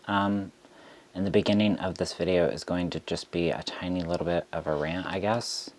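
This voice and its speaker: A man who reads tarot, speaking straight to camera in a frustrated rant, deliberate and halting with frequent ums. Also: deep voice, a little mellow, poor audio. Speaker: tarot reader.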